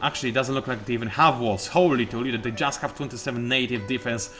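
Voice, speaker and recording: A man's voice: mid-range voice, fast talker, pushed and bright, faint music behind. A man giving casual, joking commentary as he plays a strategy game, thinking aloud and poking fun at himself. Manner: chatty, joking and self-mocking, thinking aloud. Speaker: video game let's play host.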